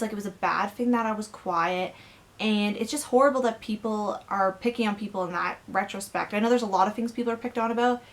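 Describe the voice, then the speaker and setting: A young woman talks earnestly to her camera from her bedroom, candid and a little halting, with plenty of fillers. Her voice sits at an ordinary middle pitch for a woman. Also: fairly bright tone. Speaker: vlogger. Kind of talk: personal rant to camera.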